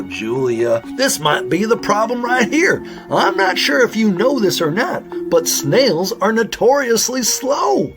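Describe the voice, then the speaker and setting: A man acting an earnest, folksy raccoon sheriff detective in a children's audio drama. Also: high-pitched. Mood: reassuring, inquisitive. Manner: animated, folksy, wordplay-loving.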